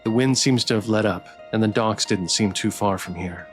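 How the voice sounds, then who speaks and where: A man's voice: lowish voice, normal speed. Male game streamer over background music. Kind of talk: casual live-stream chat mixed with reading visual novel narration aloud.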